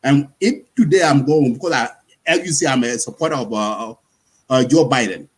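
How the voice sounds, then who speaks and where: A husky-voiced man from talks through his points in a relaxed panel discussion. He explains patiently, repeating himself and asking "you see?" as he goes. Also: mid-range voice, normal speed. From South Sudan.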